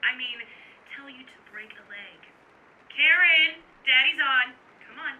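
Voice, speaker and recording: Sharp, bright worried wife on the phone played by a woman. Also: high voice, unhurried, dull audio, exaggerated voice. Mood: fond, insistent. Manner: pointed, gently nagging. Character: worried, reproachful wife and mother. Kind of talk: audio drama acting.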